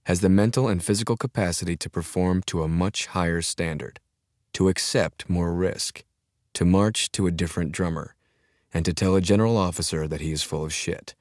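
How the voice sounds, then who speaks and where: A man's voice: low-pitched, normal speed, no room sound. A man reading a thriller audiobook as a dramatic scripted narration, recorded close in a studio. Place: dry studio, close mic.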